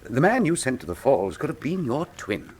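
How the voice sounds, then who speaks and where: Theatrical, animated English detective. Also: male voice, mid-range voice, normal speed, husky. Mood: dry sparring. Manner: theatrical, precise, formal diction. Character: shrewd, imperious, wryly witty English male detective. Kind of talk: full-cast radio drama acting.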